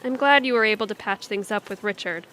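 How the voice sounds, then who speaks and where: A woman's voice: medium pitch, fast, bright, forceful tone, a little hiss or hum, lightly acted, rough recording. A woman playing a sensible, exasperated grown-up daughter in an audio comedy. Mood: skeptical. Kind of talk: audio theatre comedy acting.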